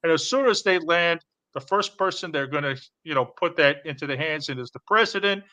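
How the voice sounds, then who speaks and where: A man's voice: a little high, brisk, sharp tone. A man playing a boastful, shady businessman with a Chicago accent, rambling with lots of fillers on a comedy stream. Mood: scheming. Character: boastful shady middle-aged male businessman. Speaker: stream co-host.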